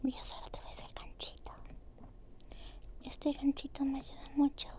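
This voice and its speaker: A woman doing a soft-spoken, often whispered ASMR roleplay as a dentist, with a breathy, gentle and reassuring way of talking. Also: sits high, completely flat delivery, moderate pace. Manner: gentle, reassuring, using many diminutives.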